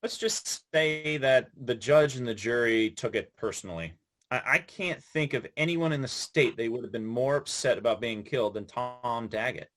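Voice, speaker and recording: An actor playing an earnest young defense lawyer who talks with small stammers. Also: male voice, medium pitch, moderate pace, fairly bright tone, close to an everyday voice. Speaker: actor in a play reading. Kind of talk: stage play reading.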